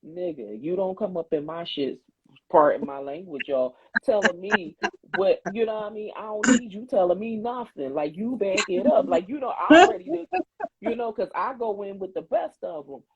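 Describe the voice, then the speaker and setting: Therapist lady chatting, swears a lot, acts out convos, husky voice on a tinny phone line. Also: mid-range voice, moderate pace. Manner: animated storytelling, swears freely, acts out quotes. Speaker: podcast co-host; therapist and CPR instructor. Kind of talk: casual chat.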